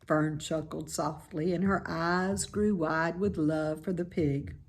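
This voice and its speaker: A woman reading a children's novel aloud as scripted narration, in an expressive, deliberate way. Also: low voice. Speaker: storybook reader.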